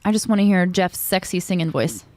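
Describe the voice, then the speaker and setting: A woman's voice: on the low side, lively intonation, brisk, darkish tone, dry recording. A young woman co-hosting a podcast, playful and teasing in casual chat. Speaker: podcast co-host.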